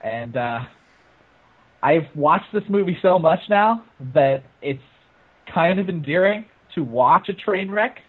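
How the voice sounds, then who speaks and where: A man with a fairly high voice chats casually about films as a guest reviewer. The sound is narrow and phone-like, as on an internet call. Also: expressive, slow, slightly rough. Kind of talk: casual group film review chat.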